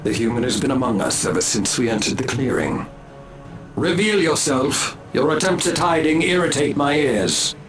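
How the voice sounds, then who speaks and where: Stern elven ranger acted by a man, loud music. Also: mid-range voice, animated, moderate pace, lightly acted. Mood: scornful. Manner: formal, clipped, superior. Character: stern, scornful male alien elf ranger.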